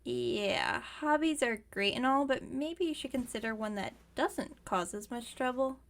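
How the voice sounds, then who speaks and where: A woman voicing a caring, wry young werewolf roommate in a casual, teasing, gently bossy ASMR roleplay monologue. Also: a little high, very animated, unhurried.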